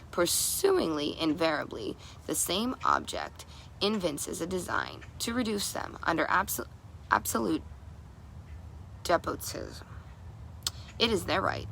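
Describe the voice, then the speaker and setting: A woman reading a historical document aloud, haltingly, sometimes stumbling over long words and repeating them. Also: deep voice, gravelly, pushed and bright, a little hiss or hum, low-quality recording. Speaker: reader.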